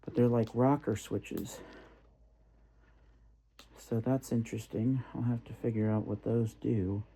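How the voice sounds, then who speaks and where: Slow, gravelly-voiced man unboxing casually. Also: medium pitch, fairly even intonation, warm tone. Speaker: product reviewer. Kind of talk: casual unboxing vlog, thinking aloud. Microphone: phone, handheld or nearby. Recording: clearly noisy amateur recording.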